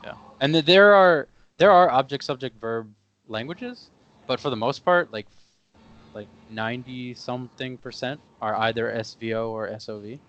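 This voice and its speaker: A young man co-hosting a podcast, casually explaining things step by step with lots of fillers and pauses. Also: medium pitch, fairly bright tone, dull audio. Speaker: podcast co-host. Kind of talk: casual conversation.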